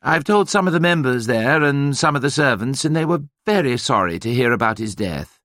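A man's voice: mid-range voice, animated, brisk, fairly bright tone, dry recording, studio quality, voice pushed up. A man voicing a strained, drawling upper-class Englishman bearing bad news in a mannered, gushing way. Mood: solemn, gushing.

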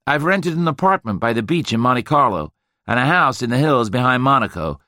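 A man voicing a brash, boastful veteran, loud and amazed at himself. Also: mid-range voice, expressive, a little fast, no echo, clean studio sound, voice pushed up. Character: brash, boastful American male Vietnam veteran.

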